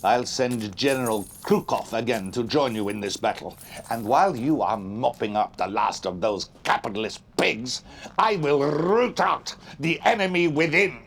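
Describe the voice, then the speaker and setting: An actor playing a shrewd, self-assured Soviet premier with a Russian accent, speaking in an authoritative, deliberate, theatrical manner in a video game cutscene. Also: male voice, mid-range voice, slight background noise, natural voice. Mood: commanding.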